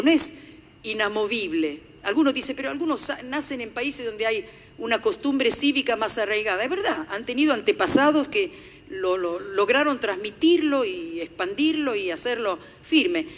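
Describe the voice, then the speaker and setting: A woman's voice: fairly high pitch, animated, normal speed. Older Italian woman speaker, earnest lecture tone, echoing hall. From Italy. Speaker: conference speaker. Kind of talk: formal lecture. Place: conference hall. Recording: echoey hall.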